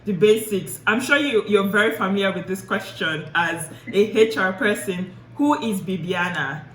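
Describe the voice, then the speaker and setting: A woman's voice: medium pitch, moderate pace, fairly bright tone. A woman hosting a conversational interview, asking questions as the interviewer. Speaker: interviewer and show host. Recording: echoey room with clear background noise.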